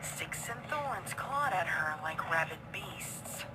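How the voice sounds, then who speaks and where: A woman reading dark fiction as a dramatic narrator, her phrases often falling hushed. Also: fairly low pitch, expressive, takes it slowly, very noisy. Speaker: story narrator. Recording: very loud storm-like ambience behind.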